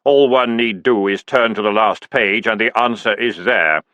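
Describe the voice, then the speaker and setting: A man voicing Death as a calm, dry-witted skeletal figure who speaks slowly and deadpan. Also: fairly low pitch, no room sound. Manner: slow, measured, deadpan.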